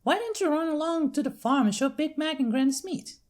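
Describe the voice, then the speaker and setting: A woman's voice: high voice, lively intonation, brisk, voice pushed up. A woman voicing a friendly, plain-spoken young country mare with an American Southern accent and a folksy, hearty manner. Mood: prompting.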